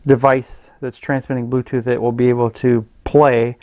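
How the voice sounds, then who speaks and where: A man reviewing a gadget in a casual, unscripted way, with a hesitant, halting and rambling manner. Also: medium pitch, level tone, a little mellow, no room sound. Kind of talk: casual unscripted product demonstration.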